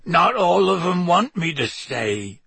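A man voicing a huge, humble gamekeeper with a hoarse, croaky voice and slow, rustic speech. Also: high voice, very animated, pushed and bright, no room sound, pitched above the performer's own voice, exaggerated voice. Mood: glum. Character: huge gruff male gamekeeper, tearful and humble.

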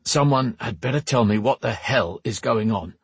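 Guy doing a sour suspicious tough guy for an audiobook, blunt, husky, dry recording. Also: mid-range voice, animated, moderate pace, dry recording. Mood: angry. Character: gruff, hot-tempered, distrustful male fighter. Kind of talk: audiobook character dialogue.

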